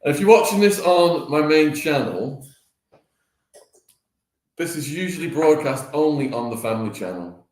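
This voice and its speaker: A man's voice: a little high, animated, measured pace, pauses often, a little mellow. A man hosting a casual breakfast live stream, chatting and greeting viewers by name. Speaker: livestream host. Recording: echoey room.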